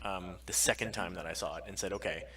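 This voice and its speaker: A man's voice: deep voice, fairly even intonation, quick pace, distant mic, crowd noise. A man co-hosting a casual livestream podcast, talking emphatically and freely. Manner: emphatic, frequent swearing. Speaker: podcast co-host.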